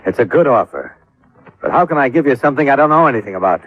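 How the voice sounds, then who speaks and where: An actor playing a wry, stubborn American insurance investigator in a clipped 1950s radio detective style. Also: male voice, medium pitch, sing-song, fast. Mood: protesting. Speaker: lead actor and narrator. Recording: muffled, noisy old radio recording.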